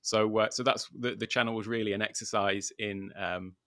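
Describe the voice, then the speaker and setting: A man's voice: fairly low pitch, steady tone, normal speed, sharp tone, dry recording. A British man from the UK, a guest talking loosely and unscripted over a video call, with many filler words. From United Kingdom. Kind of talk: casual livestream interview. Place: at home in Thailand.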